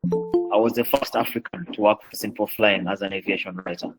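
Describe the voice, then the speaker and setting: A young man with a Ugandan accent speaks in a low-pitched voice. He is a guest on a professional panel, introducing himself and his background. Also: fairly even intonation, brisk, over music, rough recording. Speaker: panelist, aviation business development manager.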